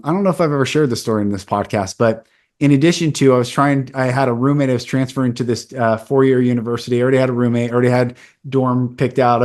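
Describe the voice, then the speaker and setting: Earnest man in his forties. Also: mid-range voice. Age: 40s. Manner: earnest, candid personal storytelling. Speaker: podcast host. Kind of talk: casual conversation.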